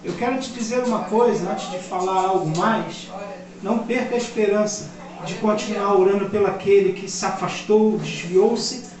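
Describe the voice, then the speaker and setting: A man in his fifties, a pastor from Brazil, preaching a sermon that swells louder on key points. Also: sits high, moderate pace, a crowd in the background. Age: 50s. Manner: impassioned preaching, rising loud on key points. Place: church. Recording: echoey church hall, mic at a distance. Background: congregation responding.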